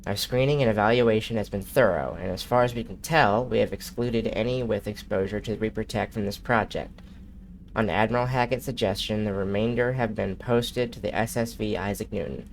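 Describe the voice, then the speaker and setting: A man with a low, gravelly voice and a nasal edge reads in-game messages aloud at an unhurried pace, now and then stumbling and restarting a word. A faint hiss of noise sits under the recording. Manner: steady, unhurried reading with occasional slips and restarts. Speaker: streamer. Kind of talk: reading in-game messages aloud during a live gameplay stream.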